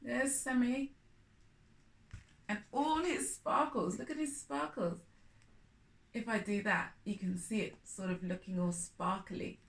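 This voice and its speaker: A woman's voice: a little high, takes it slowly, vocal fry, long pauses. A woman hosting a children's storytime, reading a picture book aloud expressively with chatty asides.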